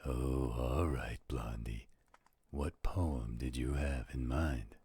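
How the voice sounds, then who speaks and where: A man playing a gruff but gentle, fatherly werewolf in an intimate audio roleplay, with a deep, gravelly, husky voice and an unhurried delivery. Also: fairly even intonation, no echo, heavily acted. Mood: relaxed, teasing.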